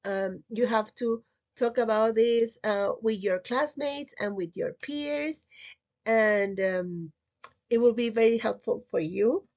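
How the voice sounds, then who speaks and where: High-voiced Peruvian woman teaching, muffled audio. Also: slow pace, a little mellow. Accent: Peruvian Spanish. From Lima, Peru. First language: Spanish. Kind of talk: recorded slide lesson for students. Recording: slightly muffled, dull audio.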